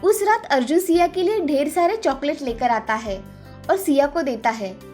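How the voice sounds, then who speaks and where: A woman's voice: high voice, animated, normal speed, darkish tone, soft background music. A woman storyteller reading scripted narration for a moral tale.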